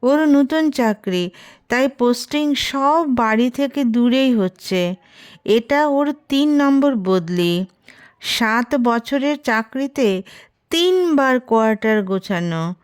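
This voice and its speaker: A woman reading a short story as scripted narration, expressive and gently wry. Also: on the high side, smooth.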